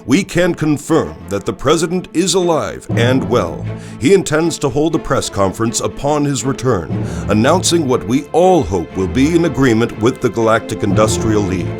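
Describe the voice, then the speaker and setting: A man playing a solemn news anchor, reporting in a grave, measured, formal broadcast style. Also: mid-range voice, sing-song, close to an everyday voice. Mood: grave, suspenseful. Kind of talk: dramatic broadcast news narration. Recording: music bed behind the voice.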